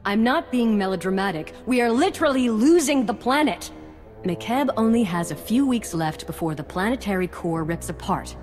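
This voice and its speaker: A woman's voice: mid-range voice, lively intonation, normal speed, darkish tone, close to an everyday voice. A woman playing a defiant, exasperated mining executive, emphatic and urgent, in a video game. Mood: desperate, exasperated. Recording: reverberant hall, faint music behind.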